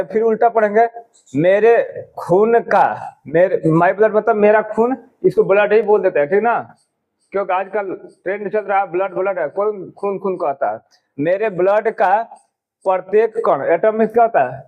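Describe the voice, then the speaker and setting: A man's voice: high voice, narrow, tinny audio. A man teaching a class, explaining a poem line by line and often asking whether the students understood. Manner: repetitive questioning, drawn-out English words.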